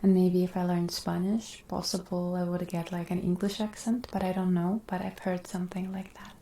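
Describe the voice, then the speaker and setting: Soft-whispering woman, Russian native. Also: little pitch movement, moderate pace, echoey room, slight background noise. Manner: soft-spoken, slips between whisper and low talk. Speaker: ASMR streamer. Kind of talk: casual whispered chat with viewers.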